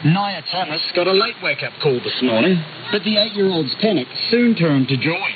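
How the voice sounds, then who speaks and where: A man's voice: a little high, lively intonation, moderate pace. A man narrating a scripted news feature as a reporter. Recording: tinny shortwave radio, clear hiss.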